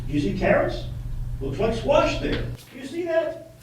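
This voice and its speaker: A man's voice: extremely high pitch, very animated, normal speed. A man playing a persistent lawyer in a puppet courtroom re-enactment, asking methodical leading questions in an echoey room. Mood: methodical, pressing. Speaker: attorney. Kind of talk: courtroom questioning read from a transcript. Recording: echoey room from far off, faint noise.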